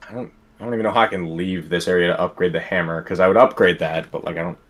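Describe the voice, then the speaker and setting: A man's voice: deep voice, fairly even intonation, rapid-fire, fairly bright tone. A man playing a game on stream as co-host, grumbling and making over-the-top joking threats at the game. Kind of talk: casual gameplay commentary.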